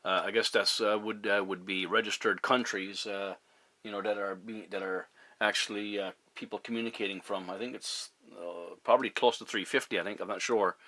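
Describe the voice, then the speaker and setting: A middle-aged man from Newfoundland, Canada, chatting casually as a CB radio operator, courteous and halting in his phrasing. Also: fairly low pitch, steady tone, sharp tone, dry recording. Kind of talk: casual radio contact chat. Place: at a CB radio station. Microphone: radio microphone.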